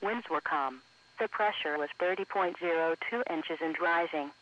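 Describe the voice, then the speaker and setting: A man's voice: fairly high pitch, normal speed, a little hiss or hum. A man reading scripted weather bulletins in a formal, segmented, automated way as a radio announcer. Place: radio broadcast captured for an online stream. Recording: muffled lo-fi weather radio broadcast.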